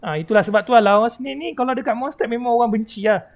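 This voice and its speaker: Fast-talking Malaysian man livestreaming. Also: very high-pitched. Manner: chatty, slang-filled explaining. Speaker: game livestreamer. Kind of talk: casual live gaming commentary.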